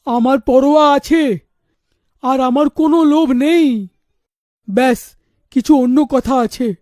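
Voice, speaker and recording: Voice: high voice, takes it slowly, a little mellow, no echo, clean studio sound. An actor playing a troubled, protective middle-aged father in a cartoon, grave and insistent in manner. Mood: troubled, reluctant. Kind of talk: animated story dialogue.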